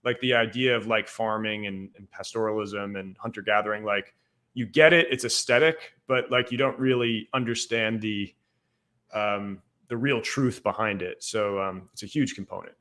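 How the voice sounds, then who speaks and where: A man's voice: fairly low pitch, moderate pace, bright, forceful tone. Irish man talking history, lots of fillers. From Ireland. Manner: rambling, many fillers like "like" and "um". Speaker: guest historian. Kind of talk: casual podcast discussion.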